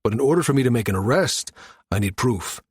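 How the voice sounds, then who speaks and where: Man acting a firm, measured security chief. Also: medium pitch, lively intonation, slightly rough, no echo, clean studio sound. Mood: measured, conceding. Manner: measured, matter-of-fact, authoritative. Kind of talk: audiobook character dialogue.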